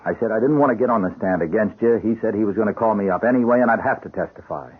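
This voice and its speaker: A man's voice: lowish voice, very fast, slightly rough. An actor playing an uneasy, apologetic policeman with a hesitant, earnest way of talking in a radio drama. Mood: guilty, defensive. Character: uneasy, apologetic policeman, guilty loyal buddy. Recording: muffled, band-limited radio drama recording.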